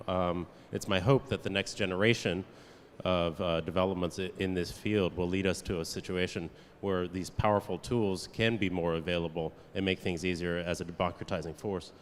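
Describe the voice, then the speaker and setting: A man speaking on a conference panel, hesitant and full of fillers as he makes his point. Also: low-pitched. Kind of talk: panel talk. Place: conference hall. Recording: reverberant hall, faint room noise.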